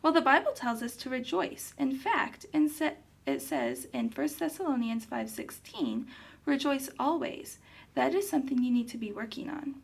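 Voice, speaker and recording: A woman plays a puppet mother with a high voice and a marked creak in it. She is patient yet firm, admonishing and instructing in the manner of a children's puppet show. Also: big pitch swings, normal speed, heavily acted. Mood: firm, instructive.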